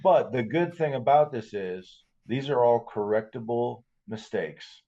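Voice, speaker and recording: A man's voice: medium pitch, measured pace, warm tone. A man co-hosting a podcast, chatting casually about sports, on a dull, lo-fi recording. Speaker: podcast co-host.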